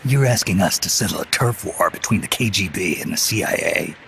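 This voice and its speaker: An American man playing a weary, stubborn veteran mercenary commander in a game cutscene, gruff, terse and cynical. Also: deep voice, lively intonation, a little fast, a bit bright, a little hiss or hum, exaggerated voice, low-quality recording. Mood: dry, defiant. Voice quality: gravelly, gruff. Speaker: video game voice actor. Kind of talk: dramatic game cutscene dialogue.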